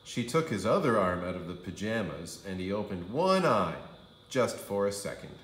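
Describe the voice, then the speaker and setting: Animated low-voiced man reading a storybook. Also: normal speed, echoey room, poor audio. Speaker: kindergarten teacher reading aloud. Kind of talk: animated storybook narration for young children.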